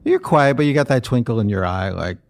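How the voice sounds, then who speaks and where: A man's voice: medium pitch, expressive, a little fast, creaky. A middle-aged man interviewing a singer, chatty and full of compliments, with frequent ums. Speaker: radio interviewer.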